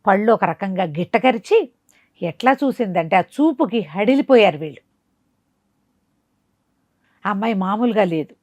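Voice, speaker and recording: A woman's voice: mid-range voice, animated, moderate pace, long pauses, darkish tone, no echo. Woman storyteller, dry close sound. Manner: conversational, dramatic, explains with asides and rhetorical questions. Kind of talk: animated oral storytelling of a folk moral tale.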